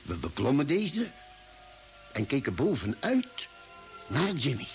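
Space expedition doctor telling the tale, man, formal and measured, lively intonation, old radio play w music under. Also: medium pitch, full character voice. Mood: grave, measured. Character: male expedition doctor recounting a Mars voyage. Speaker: narrator. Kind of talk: scripted radio drama narration.

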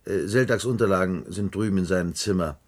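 Casting: a weary, sardonic career official in a science-fiction radio drama, deep register, rough-edged voice. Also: male voice, fairly quick. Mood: dry, resigned. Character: weary, cynical long-serving male official on a decayed Earth. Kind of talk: German radio play acting.

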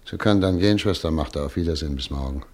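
An actor playing a calm, measured doctor in a radio play. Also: male voice, very deep voice, slight background noise.

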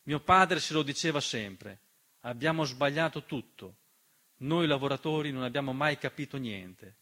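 A man performing a dramatic political theatre monologue in an emphatic, rhetorical manner with deliberate repetition. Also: mid-range voice, expressive, sharp tone. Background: piano accompaniment.

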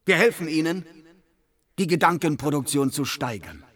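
Zealous, formal male official, higher-voiced. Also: expressive, moderate pace, fairly bright tone. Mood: rousing, solemn. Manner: formal, precise, declamatory. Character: zealous, irritable male research official in dystopian science fiction. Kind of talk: German radio play acting.

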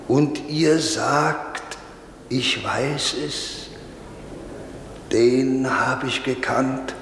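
An actor playing a stern, solemn old priest who preaches in a measured, declamatory way with rhetorical pauses, in the style of 1950s radio drama. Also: male voice, mid-range voice, vocal fry, a bit bright, exaggerated voice. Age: older. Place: large reverberant space. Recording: echoey church-like hall, noisy 1950s radio recording.

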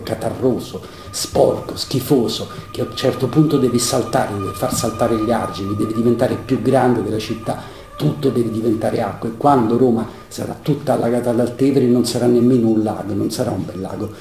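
A man's voice: mid-range voice, dark tone. A man giving his opinion in an interview, with emphatic, repetitive, scornful rhetoric. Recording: roomy, echoing interview recording.

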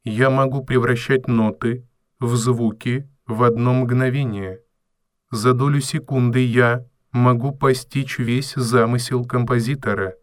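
A robot servant acted by a man, courteous and coolly logical. He speaks very deliberately at a middling male pitch, formal and without emotion, in a dry radio-play recording. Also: fairly even intonation, no echo, natural voice. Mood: grave, polite. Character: polite, measured robot servant with unshakable calm logic. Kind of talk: audio drama acting.

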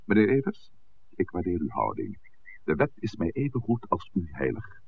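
A dignified old-fashioned village priest in a vintage radio play. His very deep voice moves in lively swings, and his phrasing is formal, deliberate and evasive. The recording is muffled and noisy. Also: gravelly, exaggerated voice. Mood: grave, courteous. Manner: formal, deliberate, wordy, hesitant at times. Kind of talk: 1960s Dutch radio drama acting.

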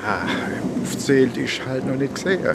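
Radio play: good-humoured provincial inspector, colloquial, lively melody, middle register. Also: male voice, medium pitch, moderate pace, gravelly, heavy background noise. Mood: enthusiastic. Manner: colloquial southern German turns of phrase. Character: provincial German police inspector, homely, good-humoured, tender. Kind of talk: German radio drama dialogue.

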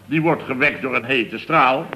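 An actor playing a flustered, indignant middle-aged building boss, telling things in a long-winded, exasperated way in a comic radio serial. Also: male voice, medium pitch, moderate pace, sharp tone, rough recording. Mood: exasperated storytelling. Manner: long-winded, exasperated storytelling peppered with verbal tags. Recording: old radio recording with faint noise.

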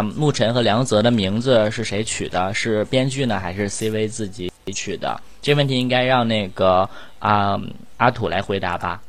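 A man hosting a casual online chat, putting questions to the guests. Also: fairly low pitch. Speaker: host of an online group talk.

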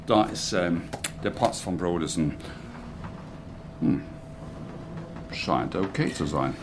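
An actor playing a matter-of-fact investigator in a radio drama, presenting evidence in halting fragments. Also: male voice, sits low, animated. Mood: businesslike. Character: male investigator briskly presenting evidence on site. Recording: loud background noise and ambience.

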